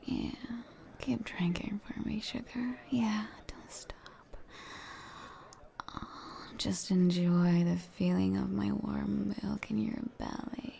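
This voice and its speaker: A woman whispers very slowly and close, in an ASMR-style roleplay. Her voice has a heavy gravelly fry and a dark, mellow tone. She sounds soothing and coaxing, with soft murmured hums. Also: mid-range voice, fairly even intonation. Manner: coaxing, soothing, playful, with pet names.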